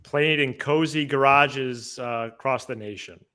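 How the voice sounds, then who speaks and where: A man's voice: mid-range voice, measured pace, bright, forceful tone, clean tone. A man hosting a sports podcast, giving casual commentary in a conversational way.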